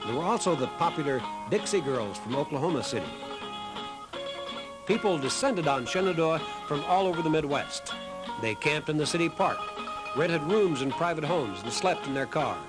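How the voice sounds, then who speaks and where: A man's voice: fairly high pitch, animated, moderate pace, poor audio. An American man reading scripted documentary narration. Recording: music under the voice.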